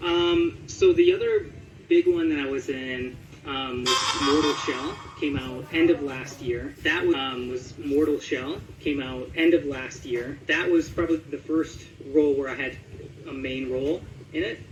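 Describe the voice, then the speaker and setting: A man's voice: medium pitch, a little slow, background noise. A man giving a casual interview as a voice actor, talking conversationally and thinking aloud with some hesitation. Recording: roomy echo with music underneath.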